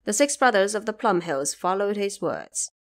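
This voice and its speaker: A woman reads as the narrator of a fantasy audio drama. Her voice sits at an ordinary pitch for a woman, and the delivery is scripted storytelling. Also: animated, normal speed.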